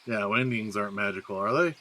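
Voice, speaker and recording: Bright-voiced man in a comedy skit. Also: on the low side, fast. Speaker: podcast regular playing himself in a comedy skit. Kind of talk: comedic audio drama acting.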